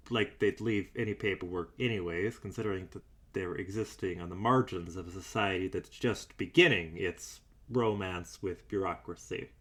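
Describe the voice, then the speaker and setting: Male actor as weary investigator, audio drama log, musing, hesitant, dryly skeptical, medium-pitched voice. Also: a bit bright, natural voice. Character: weary, doubtful male investigator recording private audio logs. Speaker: investigator and show host character.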